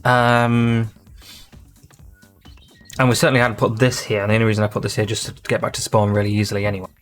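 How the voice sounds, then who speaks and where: A man's voice: on the low side, monotone, fast talker, soft background music. A man hosting his gaming channel, rambling and thinking aloud in casual gameplay commentary.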